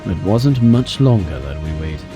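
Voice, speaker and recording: A man playing a composed, serious royal companion, narrating a battle in a formal, measured way for an audio drama. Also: sits low, very animated, music in the background, full character voice.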